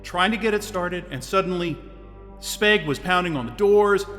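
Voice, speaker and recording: A man's voice: high voice, moderate pace, fairly bright tone, long reverb tail, faint music behind. An actor voicing a stressed, grumpy isolated man in an audio drama, talking bluntly and swearing freely. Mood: strained. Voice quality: blunt and profane. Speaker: lead character.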